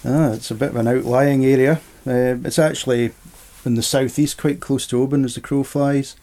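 Middle-aged man, guest in a casual chat, gravelly and mellow, rambles easily. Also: medium pitch, fairly even intonation, moderate pace. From Scotland. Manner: easygoing, rambling storyteller. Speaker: interview guest. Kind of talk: casual conversation.

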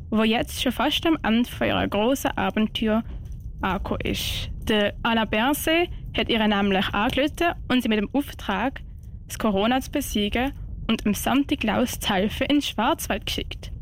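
A woman's voice: on the high side, normal speed, a little hiss or hum. A woman with a Swiss German accent presenting a radio show from a studio.

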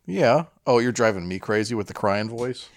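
A man's voice: mid-range voice, expressive, normal speed, dry recording, clean studio sound. A man co-hosting a podcast in casual comic banter, with a profane, wry manner. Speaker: podcast co-host.

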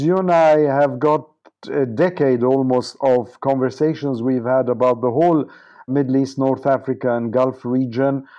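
A man's voice: medium pitch, mellow. A man speaking as an interview guest, giving earnest, discursive political commentary with emphatic lists and repetition.

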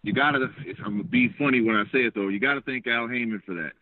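A man calling in by phone while out on a walk outdoors, talking quickly in a casual, analytical way. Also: mid-range voice, no room sound. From United States. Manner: fast, conversational, analytical. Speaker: caller. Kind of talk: phone call-in. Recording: tinny dry phone line.

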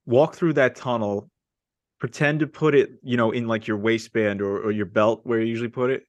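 A young man hosting a casual sports podcast, talking eagerly and telling stories with excitement. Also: mid-range voice, normal speed, dry recording. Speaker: podcast host.

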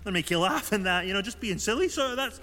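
Goofy, high-pitched young Scottish man in cavernous reverb. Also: expressive, fast talker, pushed and bright. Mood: embarrassed, joking. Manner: bumbling, jokey, nervous rambling. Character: goofy lovestruck young man, nervous joker. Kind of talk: radio horror drama acting. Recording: long reverb, faint noise.

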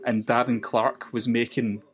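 A man's voice: medium pitch, level tone, a little slow. A man in his thirties with a Scottish accent, a podcast guest chatting casually and reminiscing with restarts. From west coast of Scotland. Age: 30s. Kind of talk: casual chat.

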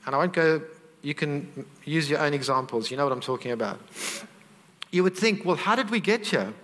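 A man's voice: on the high side, lively intonation, brisk, fairly bright tone, big-room sound. A man preaching a sermon, earnest and conversational, with asides to the congregation.

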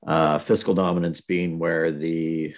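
A man with a very deep, low voice takes over an economics presentation. He speaks hesitantly and fills his pauses with ums and uhs. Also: little pitch movement. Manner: hesitant, many fillers. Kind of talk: academic presentation.

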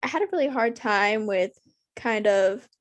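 Candid young woman, halting podcast chat. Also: mid-range voice, lively intonation. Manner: candid, halting, many ums. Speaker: podcast co-host. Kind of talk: casual chat. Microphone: improvised home mic.

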